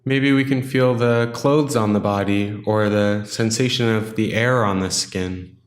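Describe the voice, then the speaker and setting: A man with a fairly low voice guides a meditation in a roomy, echoing space. He speaks gently and without hurry, offering simple instructions and leaving generous pauses between them. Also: fairly even intonation.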